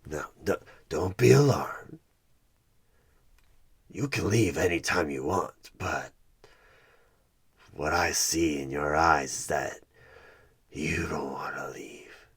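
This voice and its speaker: Sinister devil-as-recruiter for horror ASMR: extremely low, husky and breathy, menacingly persuasive, often sinking into whispers. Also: male voice, exaggerated voice. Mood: coaxing, mocking. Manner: slow, menacing, persuasive, drawn-out pauses. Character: sinister devilish male tempter recruiting as job interviewer. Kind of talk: horror ASMR one-sided roleplay.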